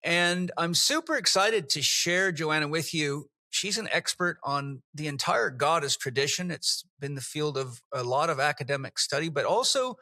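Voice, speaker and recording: A man's voice: on the high side, expressive, normal speed, few pauses, bright, forceful tone, no room sound. A man hosting a podcast interview, enthusiastic and effusive as he introduces and questions his guest. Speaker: podcast host.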